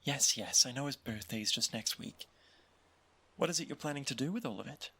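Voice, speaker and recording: Man voicing a lively, fussing mother. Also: mid-range voice, breathy edge, heavily acted. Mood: fond scolding. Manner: quick scolding, affectionate warnings. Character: fussing caring mother, teasing. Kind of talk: audio roleplay acting.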